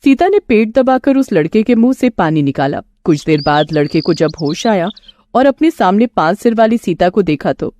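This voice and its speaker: A woman storyteller reading a scripted moral tale in an expressive, dramatic way. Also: mid-range voice, moderate pace, a little hiss or hum.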